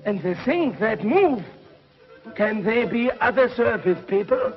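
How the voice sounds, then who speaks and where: An actor playing a fawning subworld creature who serves a tyrant in a 1950s television serial. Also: male voice, high-pitched, lively intonation, measured pace. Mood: awed, servile. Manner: deferential, fawning. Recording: muffled 1950s TV soundtrack with music.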